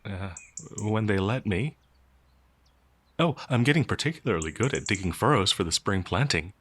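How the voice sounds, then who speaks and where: A man's voice: lowish voice, lively intonation, normal speed, a bit bright, husky. A man playing a timid, innocent werewolf farmhand in an audio roleplay, speaking in a hesitant, stammering, naively earnest way. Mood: anxious, eager. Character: timid, gentle, innocent male werewolf farmhand, older than he appears. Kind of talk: audio roleplay character acting.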